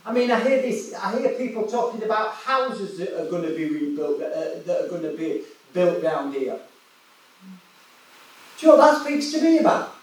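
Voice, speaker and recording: A man's voice: sits high, very animated, moderate pace. A man from West Yorkshire with a Yorkshire accent, an evangelist preaching a sermon in a chatty storytelling way, often restarting his words. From West Yorkshire, England. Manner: conversational, storytelling, many restarts and repetitions. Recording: echoey hall, voice from far off.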